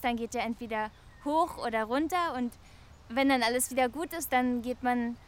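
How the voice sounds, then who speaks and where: A woman's voice: fairly high pitch, a little hiss or hum, lightly acted. A woman playing a lonely young woman in love, confiding hesitantly and musingly in a German radio play. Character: lonely, wistful young woman quietly in love with her neighbour.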